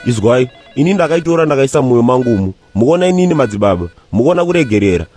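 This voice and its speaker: A Zimbabwean man playing a bewildered, aggrieved husband and patient who rambles comically in a cartoon, with a husky voice. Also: medium pitch, expressive, rapid-fire, darkish tone, faint music behind, natural voice. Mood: aggrieved rambling. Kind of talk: animated comedy dialogue.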